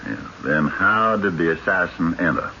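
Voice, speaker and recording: An actor playing a shrewd, unhurried, plain-spoken country lawman in a radio mystery drama. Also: low voice, lively intonation, sharp tone, full character voice. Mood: methodical, probing. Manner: folksy, plain-spoken, probing. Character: shrewd, unhurried backcountry lawman, skeptical and methodical. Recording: dull, noisy vintage radio broadcast.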